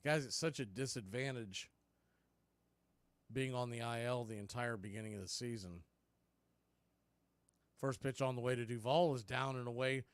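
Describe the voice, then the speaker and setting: A man's voice: normal speed, long pauses, clear, resonant tone, no echo. Dry-sounding man calling baseball play-by-play. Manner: clipped pitch calls mixed with conversational asides. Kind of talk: live sports play-by-play commentary with scoreboard asides.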